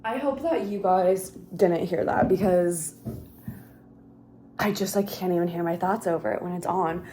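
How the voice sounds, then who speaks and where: A woman's voice: fairly low pitch, unhurried, warm tone, a little hiss or hum. A woman, chatting casually in a rambling vlog at home, full of "like" and "um". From Minnesota, USA. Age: 30s. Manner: rambling, chatty, heavy use of "like". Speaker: vlogger. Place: her home.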